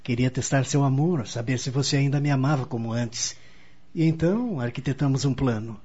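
Mellow, husky, tender husband, acted by a man. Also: medium pitch, fairly quick, lightly acted. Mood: apologetic, tender. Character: tender, reassuring husband, a respected lawyer. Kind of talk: radio soap opera acting. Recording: dull lo-fi radio recording.

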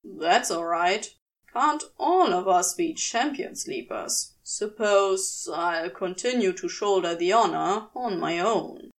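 A smug male demon from a fantasy audiobook, acted out by a woman, teasing with mock sympathy. The voice drops lower and swaggers through each line, recorded slightly away from the microphone. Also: lively intonation, takes it slowly, distant mic. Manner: mocking, faux-sympathetic. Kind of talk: fan fiction audiobook character voice.

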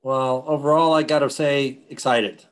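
A man's voice: medium pitch, fairly even intonation, normal speed. A middle-aged man raised in Europe, a company founder talking energetically in long run-on explanations during an interview. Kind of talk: conversational interview.

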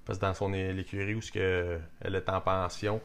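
A man in his twenties with a Québécois accent, a rodeo athlete telling his story in a relaxed, plain-spoken way as a guest. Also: deep voice, brisk, clear, resonant tone. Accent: Québécois French. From Quebec. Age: 20s. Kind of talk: casual interview chat.

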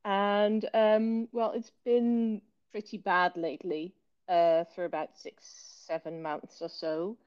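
A Dutch woman in her thirties with a learned British accent, a low-energy author speaking hesitantly with many fillers from a hospital bed. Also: little pitch movement, slow, smooth, lo-fi sound. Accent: Dutch speaker with learned British English accent. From Netherlands. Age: 30s. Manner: soft-spoken, hesitant with many fillers, occasional laughs. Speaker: author and illustrator, guest. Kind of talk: casual remote interview.

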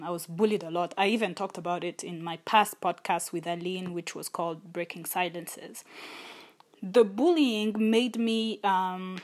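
A young adult woman hosting a solo podcast, talking in an earnest, thoughtful and openly personal way. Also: medium pitch. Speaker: podcast host. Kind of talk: reflective solo podcast talk.

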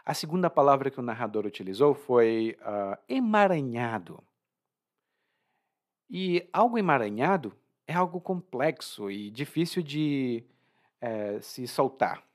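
A man's voice: medium pitch, a little slow. A Brazilian man with a Brazilian Portuguese accent, teaching Portuguese vocabulary in a chatty, informal way. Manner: lively, informal, chatty, laughs at own jokes. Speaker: language teacher. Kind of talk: explaining vocabulary from a story.

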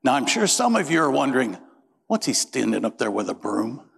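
Man, middle-aged pastor, from Indiana, folksy storyteller, lively pitch, echoing church. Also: brisk, vocal fry, slightly rough. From Indiana, USA. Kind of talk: sermon. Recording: reverberant church sanctuary.

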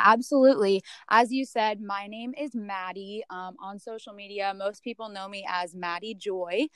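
A young woman guest speaks earnestly and reflectively on a podcast, often pausing with um. Her voice is mid-pitched, smooth and clear, and the recording is dry and close. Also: a bit bright, no room sound. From San Francisco area, California. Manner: earnest, reflective, many ums. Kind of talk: casual interview chat.

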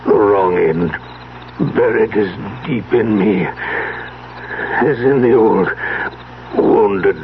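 Halting, dying old wizard. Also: male voice, fairly low pitch. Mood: weak, regretful. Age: older. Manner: halting, laboured. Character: dying old magician grandfather, regretful and proud. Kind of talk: 1970s radio drama acting. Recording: noisy, muffled 1970s radio broadcast.

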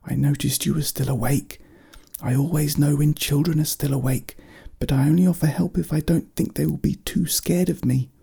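A gentle little storybook bear, voiced by a man, speaks in a raised, soft-edged voice with a husky grain. The creature is polite and coaxing, soothing as it talks. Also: a little high, fairly even intonation, moderate pace, pitched above the performer's own voice, heavily acted. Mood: reassuring. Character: small gentle friendly magical teddy bear.

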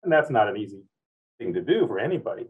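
Man, interview host, rambling long questions, quick delivery, distant from mic. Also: fairly low pitch, sing-song, breathy edge. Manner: rambling, loops back on his phrasing. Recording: tinny phone-like sound, off-mic.

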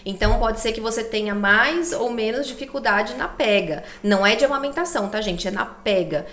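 A woman's voice: on the high side, a little fast, fairly bright tone. A woman guest on a podcast, chatting casually and explaining her consulting work, often tagging sentences with little checks to the listener. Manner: explanatory, chatty, frequent "né" and "tá" tags. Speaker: guest, co-founder of a baby layette consulting service.

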